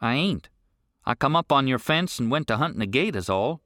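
A man's voice: medium pitch, animated, fairly quick, a bit bright, no room sound, studio quality, pitched above the performer's own voice, natural voice. A man voicing a laconic teenage ranch boy who answers tersely in a drawl.

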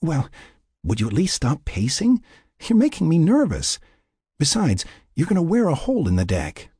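Male narrator as female crewmate, pleading, coaxing, good-humored, high lilting pitch, husky, audiobook dialogue. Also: wildly swooping pitch, normal speed, mellow, no echo, clean studio sound, heavily acted. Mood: pleading, exasperated.

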